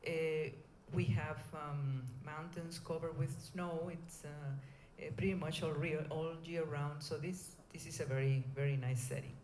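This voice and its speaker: Woman, middle-aged, Venezuelan Spanish accent, informal conference talk, halting phrasing, echoey room, background noise. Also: low voice, fairly even intonation, a little mellow. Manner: hesitant, halting delivery. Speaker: conference speaker, scientist.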